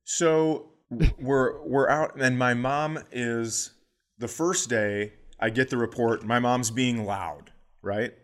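A middle-aged man hosting a podcast, telling a funny story with lively asides and quick impressions of the people in it. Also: medium pitch. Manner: animated anecdote-telling, mimicking others in quotes. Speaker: podcast host and comedian. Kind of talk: comic storytelling chat.

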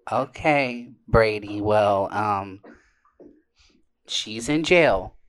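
A man's voice: fairly low pitch, extremely slow, slight background noise. A man co-hosting a casual livestream with banter and prank calls, talking in a slangy, sassy way. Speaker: co-host making prank calls.

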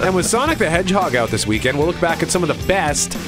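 A man's voice: on the high side, very animated, quick pace, over music. A man hosting a radio show, mixing scripted narration with casual asides in an emphatic way, drawing out key phrases.

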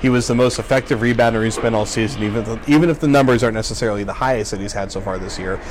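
A man's voice: on the low side, rapid-fire, slight background noise. A man hosting a basketball show, talking conversationally and steering the discussion along. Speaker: sports podcast host.

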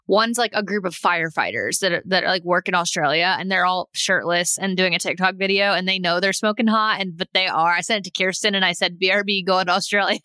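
A woman's voice: fairly low pitch, steady tone, brisk, sharp tone, smooth, no room sound. A woman co-hosting a podcast, giving casual, chatty and opinionated advice in an informal way.

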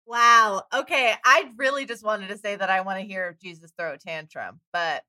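A woman's voice: mid-range voice, normal speed, pushed and bright, no room sound. A woman playing Death on a comedy podcast, speaking in a sardonic, teasing way. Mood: wry. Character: Death, wry female reaper. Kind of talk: improvised comedy podcast banter.

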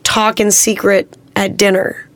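A woman in her thirties talks as a guest on a podcast. She is candid and laughs easily, dropping swear words as she goes. Her voice sits at a middle pitch and is recorded very dry and close. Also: flat delivery, measured pace, dry recording. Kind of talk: casual chat.

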